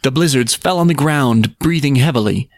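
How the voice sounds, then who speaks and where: A man's voice: medium pitch, normal speed, no echo, clean studio sound. A man narrating a scripted folk tale as a podcast storyteller.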